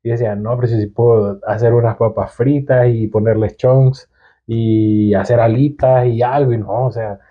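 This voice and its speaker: A man's voice: mid-range voice, steady tone, moderate pace. A young Salvadoran man with a Salvadoran accent, chatting casually as a podcast guest with hesitant restarts. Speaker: guest entrepreneur. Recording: tinny phone-like sound.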